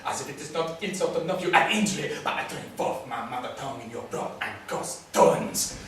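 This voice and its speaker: A man's voice: medium pitch, animated, brisk, fairly bright tone, recorded from a distance, lightly acted. A man playing a haughty, theatrically offended fake Frenchman with a French accent and pompous, flowery wording in a comedy sketch. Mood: haughty outrage. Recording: very echoey room, off mic, faint noise.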